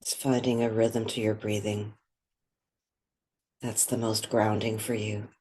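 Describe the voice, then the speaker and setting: A soft-spoken woman leading a guided meditation, pausing between short phrases. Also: very deep voice, little pitch movement, husky.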